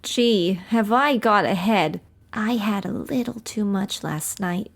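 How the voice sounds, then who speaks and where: A woman's voice: medium pitch, slow pace, creaky, husky, heavily acted. A woman playing a tipsy, bitter, ditzy gangster's moll in an old-time radio comedy. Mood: hungover.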